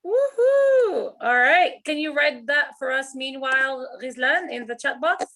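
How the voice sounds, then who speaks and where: A woman runs an online writing class over a video call, her voice high and her pace slow and unhurried. She laughs often and doubles back on her words as she coaxes the class along. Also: lively intonation, a bit bright. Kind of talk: online class, encouraging and chatty.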